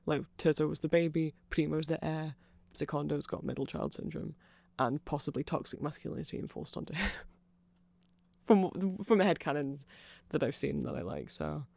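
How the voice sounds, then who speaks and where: A man with a fairly high, crackly voice talks through an ASMR explainer. The recording is very dry. He rambles and corrects himself as he goes. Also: moderate pace, gravelly, a little mellow, dry recording. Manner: rambling, self-correcting, chuckling asides. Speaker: ASMR creator explaining band lore.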